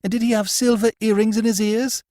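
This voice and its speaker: A man's voice: sits high, flat delivery, a little fast, warm tone, no echo, clean studio sound, voice pushed up, lightly acted. A man voicing a piercing, imperious veiled lady. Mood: intense. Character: veiled, agitated noblewoman, commanding. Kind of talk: audiobook character voice.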